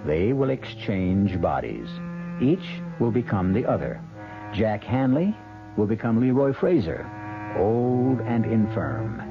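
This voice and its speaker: A man hosting a radio mystery drama with ominous, deliberate scripted narration and dramatic pauses. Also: on the low side, big pitch swings, exaggerated voice. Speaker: drama host. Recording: muffled 1970s radio broadcast with music.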